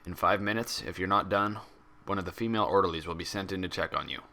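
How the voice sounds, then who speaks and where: A man's voice: low-pitched, quick pace, vocal fry, bright, forceful tone, full character voice. A man voicing a bored stallion orderly in a story, curt and indifferent.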